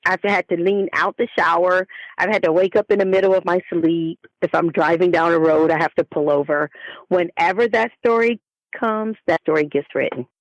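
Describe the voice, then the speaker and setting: A woman's voice: on the low side, steady tone, moderate pace, no echo, lo-fi sound. A woman speaking as a guest author, giving earnest, explanatory answers in a conversational interview. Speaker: guest, children's book author.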